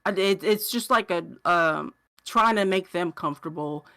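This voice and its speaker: Casual podcast co-host with halting phrasing. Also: medium pitch, pushed and bright. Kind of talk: casual gaming podcast discussion.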